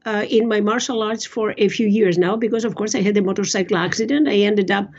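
Older greek lady being interviewed, raspy gravelly voice, says it straight, kinda dull lo-fi sound. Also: mid-range voice, quick pace, a little mellow. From Greece. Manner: blunt, emphatic, self-assured. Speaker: interview guest, physician and author. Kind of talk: conversational personal storytelling.

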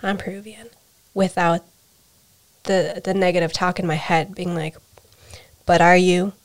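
A young American woman talks in a reflective, personal conversation. Her voice sits a little low for a woman. She is candid and introspective, often halting and restarting a phrase as she works out what she means. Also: little pitch movement. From United States. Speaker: interview guest, adoptee.

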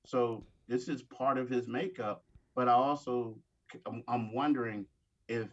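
Male sports writer guest, casual and halting, middle register, slightly muffled lo-fi audio. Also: medium pitch, steady tone. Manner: halting, repeats words while thinking. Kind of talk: casual sports talk with playful ribbing. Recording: slightly dull, band-limited sound.

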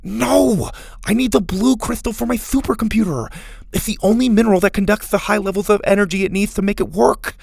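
Man doing an urgent, high-pitched eager young tinkerer. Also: a little fast, creaky, a little mellow, husky, no echo, studio quality, full character voice. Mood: desperate insistence. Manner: urgent, pleading. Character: obsessed, eager, pleading young male gem-hunting tinkerer.

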